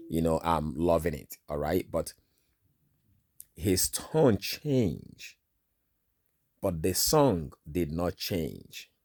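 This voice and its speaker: A deep-voiced Nigerian man with Igbo as his first language, preaching at an unhurried pace. He recounts a dream in an informal sermon and leans on "all right" and "you know" as he talks. The sound is dry and close. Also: darkish tone, no echo.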